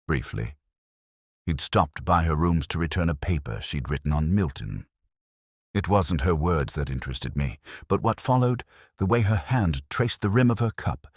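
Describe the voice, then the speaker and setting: A very deep, solemn detective played by a male narrator recounts a mystery in measured, literary phrases. His pitch moves expressively within that low register, and the sound is close and dry. He comes across as brooding and cerebral. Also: breathy edge, no room sound, exaggerated voice. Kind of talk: scripted first-person mystery narration.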